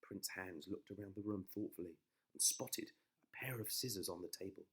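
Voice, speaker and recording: A man's voice: low-pitched, normal speed, a bit bright, breathy edge. A man narrating a children's bedtime story as a dramatic storyteller.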